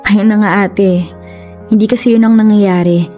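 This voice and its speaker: Casting: woman to play young Filipina wife for radio drama, earnest, gentle mellow tone, faint music bed. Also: mid-range voice, steady tone, normal speed, natural voice. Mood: hurt, dejected. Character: discouraged young wife, earnest and eager for independence.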